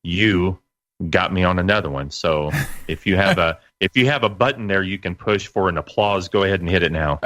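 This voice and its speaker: A man in his late forties talking casually as an interview guest, in a halting, folksy way. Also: deep voice, fairly bright tone, no echo. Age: late 40s. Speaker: guest, internet radio broadcaster.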